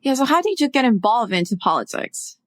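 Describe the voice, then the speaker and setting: A woman's voice: on the high side, sing-song, a little fast, dry recording, studio quality. A woman hosting a podcast, introducing her guest and reacting with effusive enthusiasm. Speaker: podcast host. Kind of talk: podcast interview.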